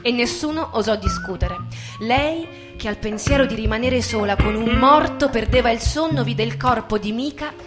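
Woman reading a story on stage, expressive, measured w pauses, clear music behind her incl guitar. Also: on the high side. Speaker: stage performer reading a narrative. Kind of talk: dramatic literary stage reading. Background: live musical accompaniment with guitar.

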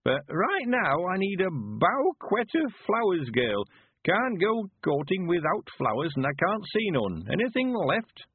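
A man's voice: high-pitched, huge pitch swings, measured pace, slightly rough, no room sound, narrow, tinny audio, voice pushed up, heavily acted. A man voicing a cheerful, sprightly rustic in his nineties with a rural West Country accent, speaking emphatic, folksy dialect for an audiobook. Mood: eager. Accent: rural English West Country. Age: 90s. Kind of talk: audiobook character voice.

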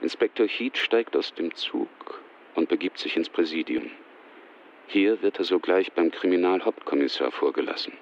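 A man's voice: low-pitched, moderate pace, slight background noise. A man narrating a German radio drama in a sober, factual manner, with a slightly dull sound. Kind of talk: scripted radio drama narration, present tense.

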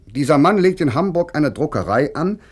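A man's voice: fairly high pitch, very animated, normal speed, slightly rough. Spirited 18th-century German man of letters. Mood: lively, resolute. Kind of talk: dramatized letter reading, mid-century German radio acting.

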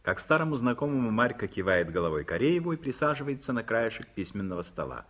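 A man's voice: medium pitch, very animated, fast talker, fairly bright tone. A man reading scripted stage directions as narrator of a 1950s Soviet radio play.

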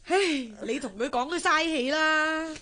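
A poor, embittered widowed mother in a radio play. Her voice is high and sharp, with a Hong Kong Cantonese accent, and she scolds and complains with melodramatic exasperation. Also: a little slow. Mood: bitter complaint. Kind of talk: Cantonese radio drama acting.

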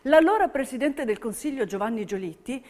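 Lively, high-voiced female stage narrator. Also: fairly quick. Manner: emphatic, dramatic storytelling. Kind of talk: scripted theatrical narration.

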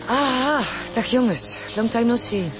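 An actress playing a matter-of-fact, breezy forensic pathologist with a Flemish accent in a radio crime drama. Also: female voice, a little high, slow pace, over music.

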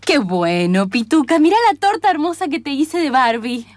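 A doting young child's mother in a radio comedy, with a high voice. She coaxes and soothes in a Rioplatense Argentine accent. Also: sing-song, normal speed. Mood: patient, soothing. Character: doting mother coaxing her young daughter. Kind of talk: comedic radio play acting.

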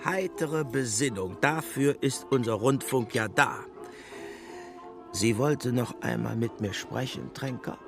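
An actor playing a pompous, cold and contemptuous Nazi propaganda minister in a German radio drama. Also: male voice, mid-range voice, takes it slowly, vocal fry, music in the background. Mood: coolly condescending.

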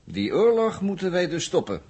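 A husky man's voice with lively swings in pitch plays a wry, defiant captive from Earth. He teases and needles casually, as in an old 1960s science-fiction radio play. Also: normal speed, exaggerated voice. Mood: firm, persuasive. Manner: sardonic, teasing, casual. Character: wry, defiant male space traveller from Earth, a prisoner. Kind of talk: 1960s Dutch radio drama acting.

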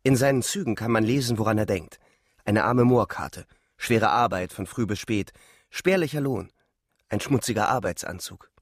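Low husky soldier narrator. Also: male voice, normal speed. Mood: observant. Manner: reflective, sombre. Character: disillusioned young soldier narrating the war. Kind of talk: literary narration in German radio drama.

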